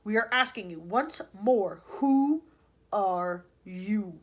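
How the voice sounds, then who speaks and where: A woman reading aloud gives voice to a booming, commanding male voice from a loudspeaker, speaking loudly and sternly. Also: animated, slow pace. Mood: booming, threatening. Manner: loud, stern, threatening.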